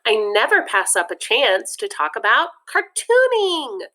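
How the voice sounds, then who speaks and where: A woman's voice: on the high side, very animated, unhurried, bright, forceful tone, voice pushed up, heavily acted. A woman voicing a cheerful, chirpy female teacher and cartoonist in a storybook read-aloud.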